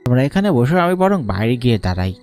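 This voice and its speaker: A man's voice: medium pitch, sing-song, very fast, a little mellow, clean tone. A man voicing a coaxing, evasive village school peon in a horror cartoon. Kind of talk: dubbed horror cartoon dialogue. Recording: music under the voice.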